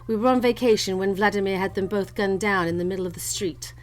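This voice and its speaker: A woman's voice: mid-range voice, quick pace, natural voice. A woman playing a steely, vengeful gun-toting stranger in a campy noir radio play, commanding and melodramatic. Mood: bitter. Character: steely, vengeful woman holding a detective at gunpoint.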